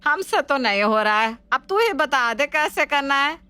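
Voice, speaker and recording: Woman voicing older mother-in-law, superstitious, dismissive, high bright voice. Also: lively intonation, normal speed. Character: older village mother-in-law, superstitious and set in her ways. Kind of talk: Hindi family drama acting.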